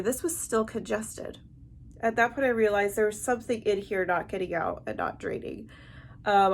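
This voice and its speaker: A woman with a stuffy, heavily congested nasal voice, talking to camera in a halting, explanatory way in a personal vlog. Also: medium pitch. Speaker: vlogger. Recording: amateur recording with light background noise.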